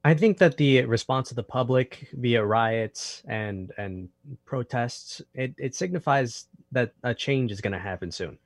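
A man giving considered opinions in a discussion, deliberate and a little halting. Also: mid-range voice, no echo, studio quality. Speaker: panelist.

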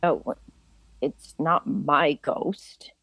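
A woman playing a solemn, literal-minded spiritual client in an audio drama, speaking formally and earnestly with deliberate pauses. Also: low voice, a bit bright, dry recording, heavily acted.

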